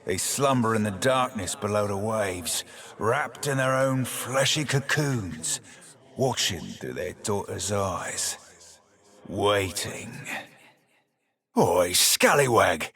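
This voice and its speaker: Little male pirate shiphunter, raspy, roguish, wry seafaring dialect, video game character, very dry studio sound. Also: mid-range voice, fairly bright tone, no echo. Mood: grave, hushed, then indignant, wry. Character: small, roguish, salty blue pirate shiphunter.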